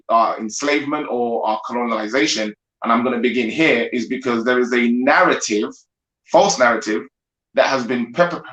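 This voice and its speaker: A man, a guest panelist, makes a measured argument over a video call and keeps checking in with "right?". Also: medium pitch, fairly bright tone. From grew up in the United Kingdom. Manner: measured, builds his argument with frequent "right?". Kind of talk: discussion monologue. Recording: echoey room.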